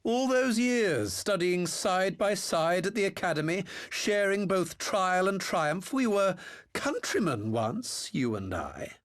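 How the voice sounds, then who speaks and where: A man's voice: sits high, big pitch swings, slow pace, heavily acted. A man playing a smug, theatrical former imperial officer and master engineer in a video game, mocking with grandiose courtesy. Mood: needling, persuasive. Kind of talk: video game cutscene acting.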